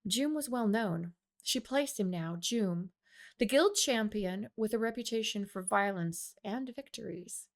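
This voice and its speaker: A woman reading her own fiction aloud as an expressive storyteller. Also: medium pitch, a little slow. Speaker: author reading own audiobook. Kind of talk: expressive scripted narration of fiction.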